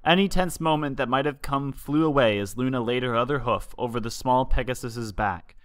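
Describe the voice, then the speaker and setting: A man's voice: medium pitch, normal speed, fairly bright tone, no room sound. Man narrating a story in dry sound. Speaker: fan fiction reader, narrator.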